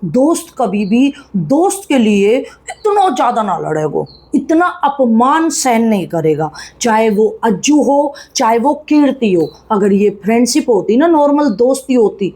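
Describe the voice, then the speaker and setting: High-pitched North Indian woman commentator. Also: sing-song, measured pace, hardly any pauses, warm tone. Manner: blunt, chiding, colloquial. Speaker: YouTube commentator. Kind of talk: casual gossip commentary to viewers.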